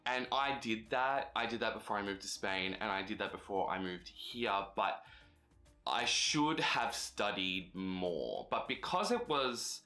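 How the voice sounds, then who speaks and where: Young male vlogger, low-pitched but bright and forward, chatty and conversational, soft music underneath. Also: animated, a little slow. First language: English. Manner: chatty, conversational, frequent fillers. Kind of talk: casual advice vlog.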